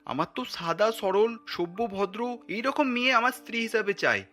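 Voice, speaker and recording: A man's voice: high voice, lively intonation, moderate pace, bright, forceful tone, faint music behind. A man voicing an earnest, principled grown-up son in a cartoon, speaking reproachfully. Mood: complaining. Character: principled adult son, earnest, protests his mother's snobbery.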